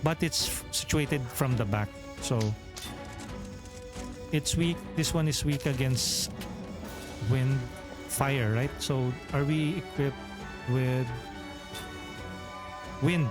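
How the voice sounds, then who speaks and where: Filipino-accented male streamer, mid pitch, thinks aloud over loud game music. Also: slow, long pauses. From Philippines. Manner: thinks aloud while reading menus, chatty with viewers. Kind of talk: live let's play commentary.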